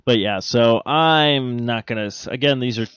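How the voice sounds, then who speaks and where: A man's voice: mid-range voice, a bit bright, lo-fi sound, a little hiss or hum. A man co-hosting a casual podcast, rambling and casually profane, stumbling as he reads. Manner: rambling, halting reader who stumbles over words. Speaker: podcast co-host. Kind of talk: casual banter and reading odd news items.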